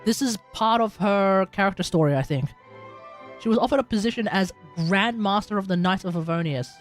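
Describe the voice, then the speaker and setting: Female streamer, casual and rambling, self-correcting, mid-pitched, faint music behind. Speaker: livestream host. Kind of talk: casual game commentary. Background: game music.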